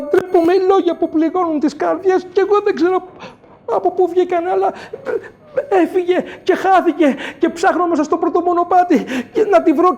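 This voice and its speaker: A woman voicing a desperate young man, pleading loudly and rushing his words in a told legend. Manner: high, loud, rushed pleading.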